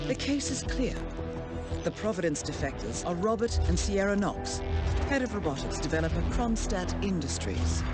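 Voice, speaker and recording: A composed female handler from a spy game, speaks in polished with lively, varied intonation. She is measured, precise and dryly wry as she briefs her agent. Loud music plays underneath. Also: on the low side. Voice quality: poised, articulate. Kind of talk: polished mission briefing and dramatic game dialogue.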